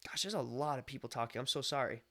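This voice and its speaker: Bright-voiced chatty American man. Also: mid-range voice, fast talker. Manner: rambling, chatty, with many fillers and restarts. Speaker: live stream host. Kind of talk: casual chat.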